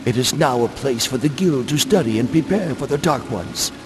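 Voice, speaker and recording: Man acting a portentous old scholar, swooping pitch. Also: expressive, moderate pace, noisy background, close to an everyday voice. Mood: ominous, instructive. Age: older. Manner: portentous, formal, theatrical. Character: grave, urgent old exiled scholar warning of evil. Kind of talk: dramatic video game acting.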